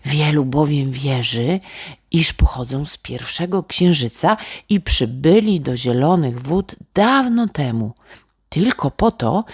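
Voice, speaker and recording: A woman storyteller narrating a bedtime tale for children in a gentle, expressive way. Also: low voice, slightly rough, dry recording, clean studio sound. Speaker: storyteller, author reading own tale.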